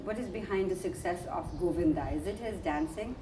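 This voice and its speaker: Woman asking probing interview questions, lowish mellow voice, keeps restarting with uh, echoey room with faint hiss. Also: fairly quick. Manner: hesitant, restarts questions with fillers. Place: indoor room in a residential building. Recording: echoey room, archival video.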